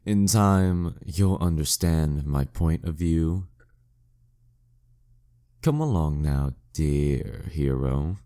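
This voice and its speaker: A man performs a dominant demon king in a flirty audio drama. His voice is very deep and dark, with a mellow softness. He speaks slowly and condescendingly, teasing the listener with courtly, seductive menace. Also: lively intonation, exaggerated voice. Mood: possessive, teasing. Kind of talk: flirty audio roleplay monologue addressed to the listener.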